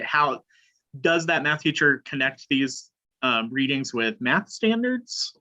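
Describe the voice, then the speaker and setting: A man with a very sharp, forceful voice at medium pitch asks questions in an online educators' discussion. His call audio is a bit lo-fi. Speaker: educator and former math teacher.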